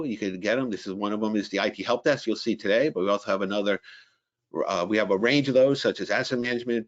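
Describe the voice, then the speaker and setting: A man introducing a software webinar in a casual, rambling way, full of fillers and restarts. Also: on the low side, highs cut off. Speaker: company presenter introducing a webinar.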